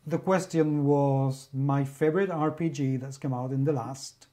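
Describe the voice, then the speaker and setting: A man's voice: mid-range voice, steady tone, unhurried, dark tone. A man from Spain with a Spanish accent, reviewing a favorite role-playing game in an effusive, enthusiastic monologue full of superlatives. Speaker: guest reviewer, magazine editor.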